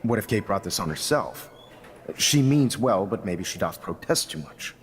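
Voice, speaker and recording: An actor playing a composed, gently probing middle-aged teacher in a video game. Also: male voice, lowish voice, animated, normal speed. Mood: measured, probing. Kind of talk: naturalistic video game acting.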